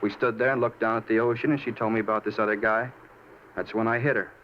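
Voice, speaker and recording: An actor in a 1950s radio drama playing a moody, self-justifying murder suspect who rambles insistently and casually. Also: male voice, lowish voice, fairly even intonation, fast talker, lightly acted. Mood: plaintive self-justifying. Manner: rambling, insistent, casual about his crime. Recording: muffled 1950s radio, faint noise.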